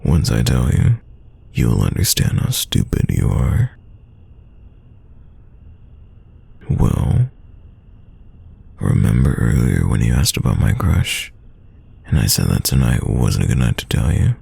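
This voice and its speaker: Man acting a very deep, gravelly teasing crush. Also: very animated, a little slow, heavily acted. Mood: exasperated, confessing. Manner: playful, teasing, soft close delivery. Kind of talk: romantic roleplay audio, intimate one-sided dialogue.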